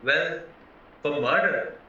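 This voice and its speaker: A naive, polite young husband acted by a man in an audio play, chatty and earnest as he explains himself. His voice is bright, sharp and lively, heard in a heavily echoing space with a distant mic. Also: fairly high pitch, slow pace, full character voice. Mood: anxious, earnest. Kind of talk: stage-style audio play acting. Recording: very echoey, distant, muffled, music behind.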